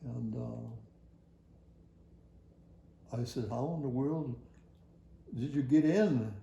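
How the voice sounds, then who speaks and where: An older man tells a personal story from his working life in a halting, rambling, folksy way. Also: mid-range voice, gravelly, dark tone, echoey room, lo-fi sound. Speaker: retired air traffic facility worker. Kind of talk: personal oral history storytelling.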